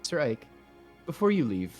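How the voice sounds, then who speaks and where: A man voicing a young queen in game dialogue, gentle yet resolute, speaking formally and earnestly. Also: medium pitch, very animated, normal speed, soft background music, natural voice. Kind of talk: game dialogue read aloud in a fan dub.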